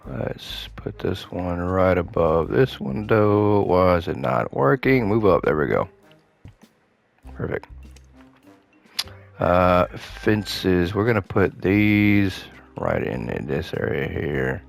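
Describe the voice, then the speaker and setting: A man giving casual gameplay commentary, thinking aloud to himself as he plays, his voice slightly hoarse. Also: low voice, slow, faint music behind. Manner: relaxed thinking aloud, muttering to himself while playing. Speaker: gaming host playing a renovation game.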